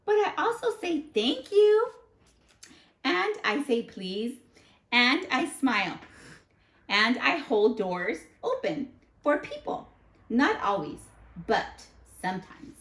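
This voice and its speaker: A woman's voice: a little high, very animated, slow, pauses often, fairly bright tone, reverberant, exaggerated voice. A woman voicing a small male seed from a storybook, an earnest reformed troublemaker who confesses with emphatic phrasing. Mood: hopeful, sheepish.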